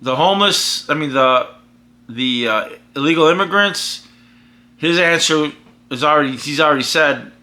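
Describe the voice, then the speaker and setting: Forceful, opinionated American man vlogging. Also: fairly high pitch, moderate pace. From United States. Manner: emphatic, rambling with frequent restarts. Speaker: vlogger and podcaster. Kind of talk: casual opinionated monologue.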